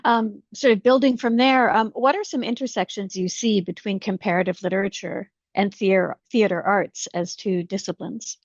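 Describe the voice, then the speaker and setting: Woman host, measured questions, dry, slightly muffled audio. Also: mid-range voice, steady tone, dry recording. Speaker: interviewer. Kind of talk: academic interview.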